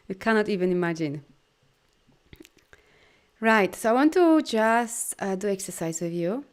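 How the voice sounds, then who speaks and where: A woman with a Polish accent coaches viewers through a live video, her voice at a middle pitch for a woman. She talks in an animated, encouraging way, with loose non-native phrasing and many "you know"s. When she guides breathing she slows down and softens. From Poland. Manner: soft, slow guidance during breathing. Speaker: life coach and energy healer.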